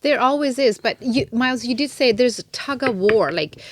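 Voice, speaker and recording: A woman's voice: a little high, expressive, moderate pace, dry recording. Engaged woman asking reflective questions. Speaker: podcast co-host. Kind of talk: casual podcast conversation.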